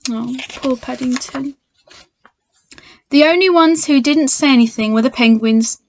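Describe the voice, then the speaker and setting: A woman with a slightly high voice reads a storybook to young children. She is expressive and speaks straight to her listeners, like a primary school teacher. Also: measured pace, a little hiss or hum. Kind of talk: children's storybook read-aloud.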